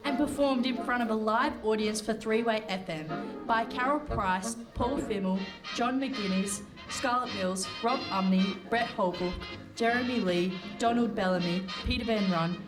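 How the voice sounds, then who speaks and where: A woman playing a brisk, impatient narrator in a comic radio play. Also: on the high side, over music, close to an everyday voice. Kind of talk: comic scripted narration before live audience.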